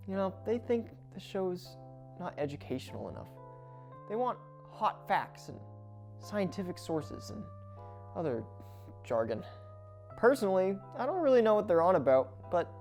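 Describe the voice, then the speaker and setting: A woman's voice: lowish voice, very animated, normal speed, long pauses, darkish tone, soft background music. A woman playing a breezy, rebellious host of a plant show, with a casual, theatrical manner and exasperated asides. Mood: chirpy, conspiratorial. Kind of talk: comedic educational show presenting.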